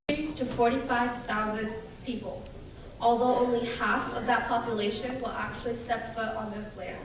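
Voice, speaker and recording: A young woman from Russia delivers a prepared speech to an audience in an earnest, measured way. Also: a little high. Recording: echoey hall from far off, noisy.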